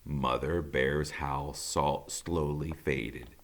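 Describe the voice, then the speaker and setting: A man reading a children's novel aloud in a theatrical, emphatic storytelling style, with dramatic pauses. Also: very deep voice, fairly even intonation, fairly bright tone.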